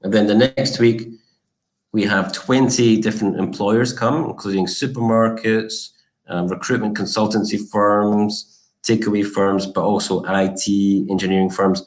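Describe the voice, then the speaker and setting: A low-voiced man from Scotland walks viewers through a college's student support in a live online session. He talks in a relaxed, informative way, pausing often on "um" and "uh". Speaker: college marketing director.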